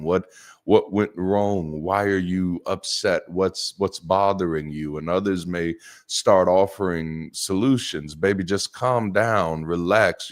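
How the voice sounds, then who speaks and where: A middle-aged man with a naturally deep voice, preaching a sermon in a lively, joking way and repeating words for emphasis. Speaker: pastor.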